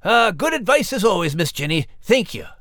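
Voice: medium pitch, very animated, normal speed, creaky, fairly bright tone, dry recording. A playful male dog as an actor puts him on for a story podcast. The voice leaps wildly up and down as he teases, blunt and cheeky. The recording is close and dry. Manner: teasing, blunt. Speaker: podcast co-host in character. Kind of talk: in-character comic banter.

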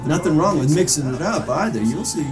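A man's voice: on the high side, expressive, brisk, music in the background, close to an everyday voice. A man playing a jovial know-it-all ghost in an audio drama, explaining things in a wordy, showy way. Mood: smug, explaining.

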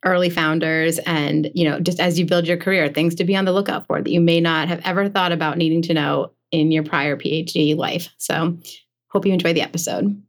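Female podcast co-host, moderately low pitch, casual chatty delivery. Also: fairly even intonation, fairly quick. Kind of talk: casual chat.

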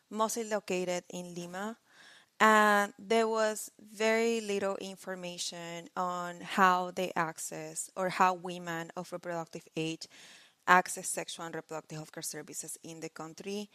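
A woman from Venezuela, a young adult researcher, talks in a somewhat low voice and walks through her work step by step, earnest and explanatory, now and then restarting a phrase. Her voice sounds dry and close to the mic. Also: a bit bright, no room sound. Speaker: PhD researcher guest. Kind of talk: podcast interview.

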